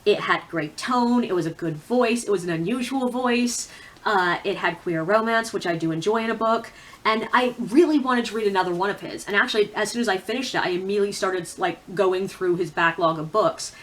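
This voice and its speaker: A woman's voice: medium pitch, fairly quick. A woman talking casually and candidly to viewers as a book reviewer, with opinionated, informal asides. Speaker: book reviewer on a video channel. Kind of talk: casual chatty vlog talk about books.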